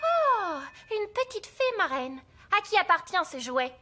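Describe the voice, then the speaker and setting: An actress voicing a kindly but firm primary schoolteacher in a French cartoon, sing-song and patient. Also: female voice, extremely high pitch, sing-song, a little slow, exaggerated voice. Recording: music behind the voice.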